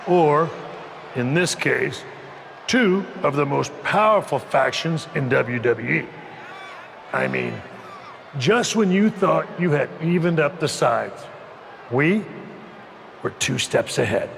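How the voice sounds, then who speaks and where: A gravelly, gloating wrestling villain. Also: male voice, fairly high pitch, expressive, fairly bright tone, big-room sound, a little hiss or hum, full character voice. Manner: slow, gloating, taunting. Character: smug scheming male wrestling faction leader.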